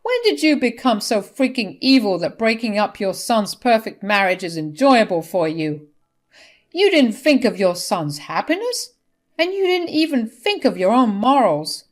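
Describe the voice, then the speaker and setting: A woman voicing an angry, principled older husband who speaks sternly and forcefully in a dramatic story. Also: a little high, very animated, a little slow, pitched above the performer's own voice. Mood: indignant anger. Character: angry, morally firm older husband divorcing his wife.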